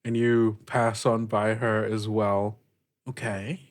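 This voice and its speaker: A game master narrating a tabletop game in a halting, amused, casual way, often saying "like". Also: mid-range voice, flat delivery.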